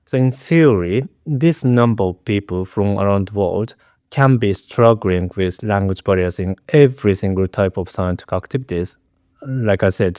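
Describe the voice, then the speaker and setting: Earnest Japanese-accented man, low voice. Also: darkish tone, dry recording, clean studio sound. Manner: earnest, careful, with repeated restarts. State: nervous. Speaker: researcher. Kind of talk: prepared interview answers.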